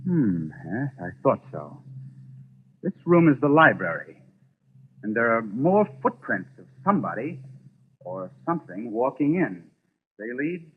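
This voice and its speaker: An actor playing a grim, knowing gentleman who takes command, in a 1940s radio drama. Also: mid-range voice, big pitch swings, a little slow, long pauses, background noise. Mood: wary. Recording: muffled lo-fi vintage radio recording with music.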